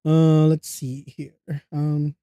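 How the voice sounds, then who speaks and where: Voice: medium pitch, level tone, extremely slow, mellow, dry recording. A streamer greeting their viewers with upbeat, chatty small talk and frequent giggles, sounding stuffy from a cold. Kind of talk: casual livestream chat. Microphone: desktop streaming microphone.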